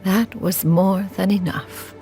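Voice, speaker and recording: A woman's voice: mid-range voice, steady tone, slow. A woman playing a proud yet caring immortal crane in human form, speaking formally and archaically in a video game. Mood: tender. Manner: formal, archaic, calls herself "one". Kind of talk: scripted video game dialogue. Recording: game music behind the voice.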